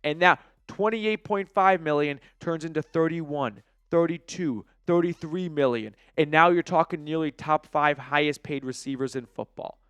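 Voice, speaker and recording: Man, American accent, sports commentary, emphatic, conversational, crisp clear voice, dry recording. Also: on the high side, normal speed, hardly any pauses, fairly bright tone, dry recording. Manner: opinionated, argues point by point, emphatic. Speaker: sports show host.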